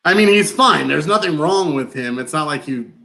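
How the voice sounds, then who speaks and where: Man acting a frustrated novice farmer, higher voice. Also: lively intonation, moderate pace, gravelly, sharp tone, exaggerated voice. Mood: forced calm, exasperated. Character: frustrated, incredulous novice farmer, forcing calm. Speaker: actor in a play reading. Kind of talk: live reading of a one-act stage play.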